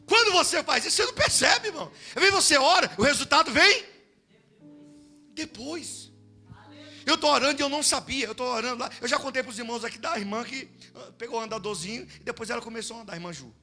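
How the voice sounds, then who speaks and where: A Brazilian man preaching in church, his voice sitting very high and sounding sharp and forceful. He shifts between loud exhortation and quiet, conversational storytelling to the congregation, with soft music underneath. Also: quick pace. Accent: Brazilian Portuguese. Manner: animated, swings from shouting to hushed storytelling. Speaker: pastor. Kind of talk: sermon with storytelling. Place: church service.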